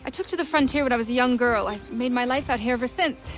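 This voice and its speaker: Actress as frontier huntress, high female voice, terse, guarded, proud, video game drama, faint music. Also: fast, natural voice. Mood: guarded, frank.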